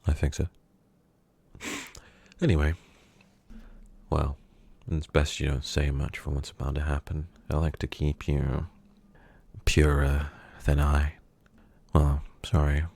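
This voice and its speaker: A man playing a menacing, smug kidnapper in an ASMR roleplay, speaking slowly and teasingly and dropping into whispers. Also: extremely low pitch, flat delivery, gravelly, darkish tone, husky, no room sound, full character voice. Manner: slow, teasing, drifting into whispers.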